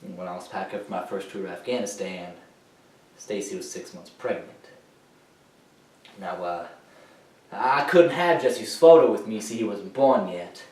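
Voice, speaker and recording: A young man acting a troubled, grieving war veteran in a theatrical monologue, halting and emphatic, swinging between hushed and loud delivery. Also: on the low side, close to an everyday voice. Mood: wistful. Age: teenager or young adult. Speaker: high school dramatic interpretation competitor. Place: echoey indoor room, recorded from far off. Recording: echoey room, distant microphone.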